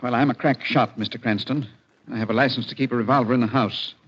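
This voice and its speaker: An actor playing a self-assured wealthy broker with a formal, precise, self-important manner in a 1940s radio mystery. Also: male voice, medium pitch, steady tone, quick pace, a bit bright, breathy edge. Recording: dull, lo-fi 1940s radio recording.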